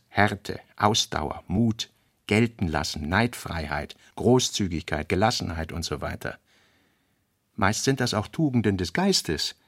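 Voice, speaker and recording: A man's voice: medium pitch, no echo. Man reading terse notes, dry sound. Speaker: radio play reader. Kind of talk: scripted reading of essayistic notes.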